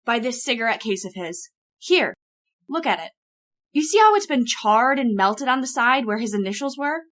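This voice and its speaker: A woman's voice: on the high side, expressive, moderate pace, fairly bright tone. A woman playing an anxious, suspicious friend in an old-time radio horror play, urgent and dramatic. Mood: accusing.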